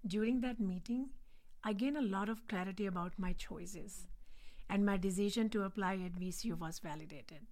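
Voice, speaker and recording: A woman in her forties from India, speaking English with an Indian accent as a guest on a podcast, earnest and measured. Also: mid-range voice, darkish tone. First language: not English. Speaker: guest, nurse anesthetist. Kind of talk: podcast interview.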